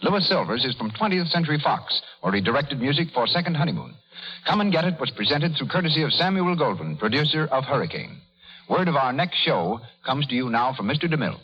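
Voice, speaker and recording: A male announcer in the style of 1930s radio. His voice sits a little high, and he reads in a formal, scripted broadcast manner. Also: very animated, fairly quick, highs cut off.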